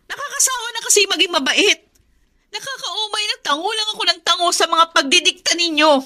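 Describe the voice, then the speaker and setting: A high, bright-voiced young wife in a radio drama. She is fed up and defiant, with hurt and indignation running through her delivery. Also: lively intonation, a little fast, exaggerated voice. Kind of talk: Filipino radio drama acting.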